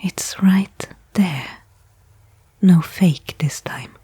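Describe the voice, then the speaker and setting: Woman acting as tired hopeful lady stranded in space, low soft whisper, asmr sci-fi roleplay, takes her time. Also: husky, dry recording, exaggerated voice. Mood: weary, hopeful. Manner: hushed, slow, reflective narration with pauses. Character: stranded female space support worker, weary, hopeful, wonderstruck.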